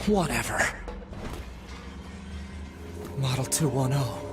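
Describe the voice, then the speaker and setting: A man's voice: medium pitch, expressive, unhurried, loud music behind the voice, close to an everyday voice. A man voicing a brooding, bitter young android soldier in a video game, curt and sullen. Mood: dazed, sullen. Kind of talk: dramatic game acting.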